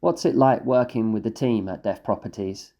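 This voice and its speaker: A man's voice: on the low side, moderate pace, warm tone. A man interviewing a guest on a podcast, asking short, direct questions.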